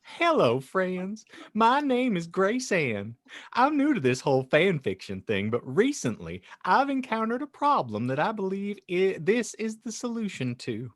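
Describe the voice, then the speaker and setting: A man's voice: sits high, huge pitch swings, measured pace, no echo, clean studio sound, voice pushed up, heavily acted. A man voicing a cheerful, prim mother who earnestly and politely introduces her fan fiction.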